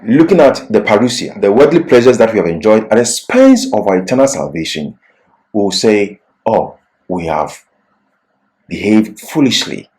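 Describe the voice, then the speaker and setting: Man, bible teacher, earnest and deliberate, pitch swings a lot as he stresses points. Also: sing-song, darkish tone, poor audio. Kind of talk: religious lesson, scripted exposition.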